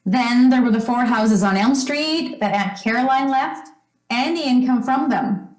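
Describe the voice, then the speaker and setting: Echoey recording, woman acting fond fussing mother, rather high voice, old-time radio play, trusting and chatty. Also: lively intonation, a little slow. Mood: earnest, confiding. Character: doting, trusting, wealthy mother of a teenage son. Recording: roomy, echoing stage-like sound.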